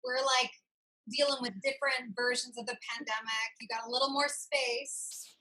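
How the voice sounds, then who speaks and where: A woman's voice: a little high, steady tone, moderate pace, pushed and bright, a little hiss or hum, poor audio. A woman hosting a casual video chat interview, with an effusive way of talking. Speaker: talk show host.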